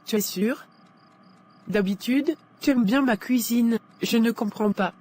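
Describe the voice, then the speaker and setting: A woman playing a devoted, accommodating housewife in an animated drama, with a gentle, coaxing manner. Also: on the high side, a little slow, slight background noise, natural voice. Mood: eager to please. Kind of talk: scripted animated drama dialogue.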